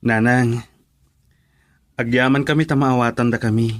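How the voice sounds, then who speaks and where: A man's voice: medium pitch, fairly even intonation, moderate pace, no echo, natural voice. A Filipino man in a radio drama, playing a humble, respectful and grateful grown son.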